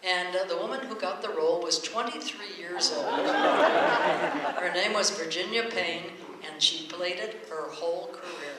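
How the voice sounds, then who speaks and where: A man's voice: high-pitched, a little slow. A man hosting a stage show, introducing a radio play with chatty, informal, somewhat hesitant anecdotes. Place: stage before a live audience. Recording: very echoey hall, faint noise.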